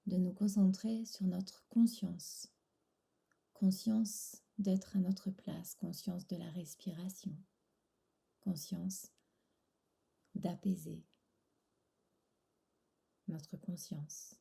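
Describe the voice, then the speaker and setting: A woman guiding a relaxation meditation in a soft, breathy, soothing voice, pausing between short phrases. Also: lowish voice, fairly even intonation. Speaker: yoga nidra instructor.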